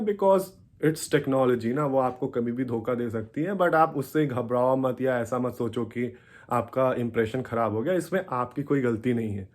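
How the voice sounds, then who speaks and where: A young man with an Indian accent talks in a casual vlog style. His voice sits at a middle pitch. He explains things step by step and often mixes English phrases into his speech. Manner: code-switches between Hindi and English, explanatory. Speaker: vlogger.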